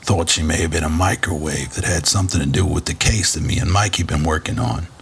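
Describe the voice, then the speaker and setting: A man playing a gruff, blunt, aging tough guy in an audio drama. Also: rumbling low, a little fast, a little hiss or hum. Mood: wry. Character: gruff, aging, impatient man hauling an android.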